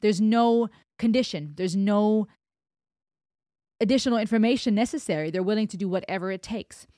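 A woman's voice: mid-range voice, normal speed, warm tone, no room sound, clean studio sound. Thoughtful woman. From Germany. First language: German. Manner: thoughtful, emphatic, explains with hand gestures. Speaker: music reaction host. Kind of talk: analytical song reaction. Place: small home studio indoors. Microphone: close studio broadcast mic on boom arm, wearing headphones.

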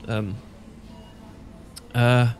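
A man's voice: mid-range voice, little pitch movement, extremely slow, darkish tone, faint music behind. A man with a British accent playing an awkward, sentimental English professor in a romantic ASMR roleplay, stammering and hesitant. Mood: flustered. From Britain. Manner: stammering, hesitant, full of false starts. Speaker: audio roleplay performer.

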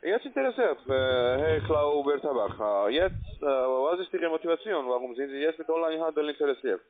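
A man who is a non-native speaker gives a halting, repetitive scripted sales pitch as a telephone trading agent. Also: expressive. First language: not German. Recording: tinny narrow-band phone line.

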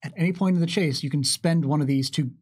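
A man in his thirties co-hosting a question session, explaining things in articulate, measured phrases. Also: on the high side, darkish tone, breathy edge. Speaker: game developer co-hosting a Q&A. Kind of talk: explanatory panel talk. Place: indoor studio table with curtain backdrop. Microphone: tabletop microphone on a stand.

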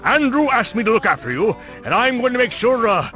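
Stammering, lecherous henchman, lively pitch. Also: fairly quick, faint music behind, exaggerated voice. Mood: awkward, flirting. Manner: hesitant, stammering, smarmy. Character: awkward, lecherous male henchman flirting clumsily.

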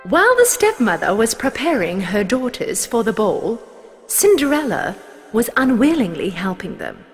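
Lively woman storyteller over music. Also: fairly high pitch, normal speed. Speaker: narrator. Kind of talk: scripted fairy tale narration.

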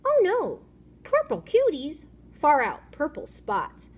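A woman's voice: sits high, wildly swooping pitch, takes it slowly, voice pushed up, full character voice. A woman voicing cheerful little storybook fish with playful exclamations. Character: cheerful little cartoon fish shrugging off teasing.

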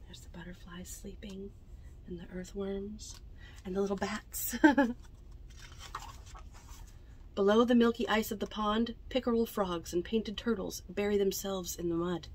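A young woman reads picture books aloud to children in an unhurried storytelling manner, adding chatty asides about the pictures. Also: medium pitch, darkish tone, slight background noise, rough recording. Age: young adult. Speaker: library storytime reader. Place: indoors among bookshelves in a small library bookmobile.

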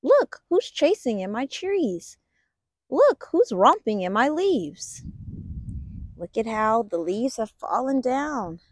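A woman reads a children's picture book aloud with a very animated, swooping delivery. She takes her time over the words in an expressive storytelling way. The sound is dry and close, without room echo. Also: on the high side, slow.

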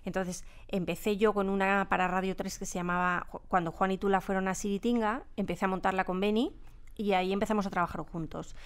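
A middle-aged woman with a Castilian Spanish accent and a smooth, clear voice of medium pitch. She explains things conversationally, with halting phrasing, as a studio guest. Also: steady tone. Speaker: radio drama sound designer, interview guest. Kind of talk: conversational interview answers. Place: radio studio.

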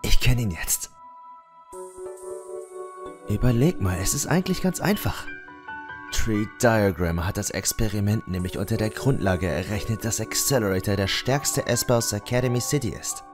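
A man playing a battered, stubbornly determined teenage boy, speaking earnestly and deliberately in an anime fan dub. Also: on the low side, animated, close to an everyday voice. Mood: earnest, explaining. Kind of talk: dramatic anime dub acting. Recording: fan dub with music underneath. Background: background music.

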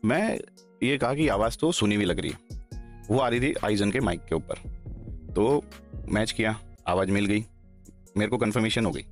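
Blunt, heated man on a headset. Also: on the low side. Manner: blunt, heated, repeats himself. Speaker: esports player. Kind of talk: livestream talk and call recording. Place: small room, sitting in a gaming chair. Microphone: headset mic.

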